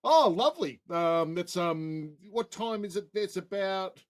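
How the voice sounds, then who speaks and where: Higher-pitched Australian man, chummy call host. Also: animated, a little slow. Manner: chummy, informal address. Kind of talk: casual chat on a group video call. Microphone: video call.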